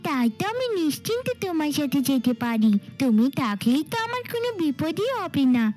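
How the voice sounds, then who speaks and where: A woman's voice: high voice, very animated, brisk, darkish tone, faint music behind. A woman voicing a devoted, timid little girl in a cartoon fairy tale, with a pleading, childlike manner. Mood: affectionate, trusting. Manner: pleading, childlike, affectionate way of addressing mother.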